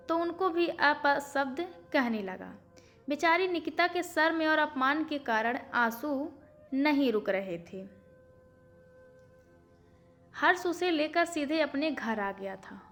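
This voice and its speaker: A woman's voice: sits high, fairly bright tone. A woman storyteller reading a scripted family moral story in audiobook narration. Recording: faint background music. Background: faint music.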